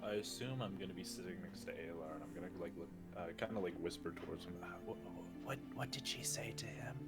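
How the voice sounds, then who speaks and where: Low-voiced man playing a tabletop game. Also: fast talker, over loud music. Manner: informal, jokey, swears casually. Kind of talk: casual improvised roleplay chat.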